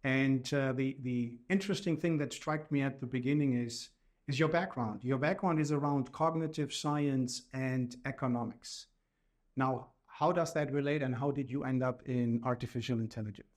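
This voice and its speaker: A middle-aged or older man with a German accent speaks as a podcast host. His voice sits at an average pitch, and his delivery is formal and measured as he introduces and questions a guest. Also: fairly even intonation.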